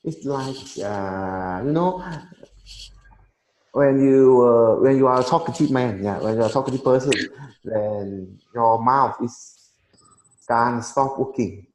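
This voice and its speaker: A man in his thirties, a Vietnamese native speaker, chatting casually in halting English and laughing often. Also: mid-range voice, a little mellow. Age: 30s. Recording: echoey room, faint noise.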